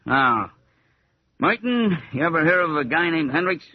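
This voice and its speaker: An actor playing a blunt, impatient police sergeant with slangy, demanding talk in a 1940s radio drama. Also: male voice, a little high, very animated, normal speed, no room sound. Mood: gruff, impatient. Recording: muffled, old 1940s radio broadcast.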